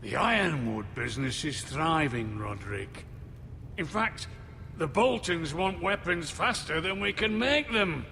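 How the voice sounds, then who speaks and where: An actor playing an older, menacing rival lord in a fantasy video game, pompous, mocking and theatrical. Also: male voice, on the high side, lively intonation, measured pace, gravelly, bright, forceful tone, slight background noise, exaggerated voice. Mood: scornful, threatening. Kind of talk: dramatic video game acting. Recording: long hall reverb, music underneath. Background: background music.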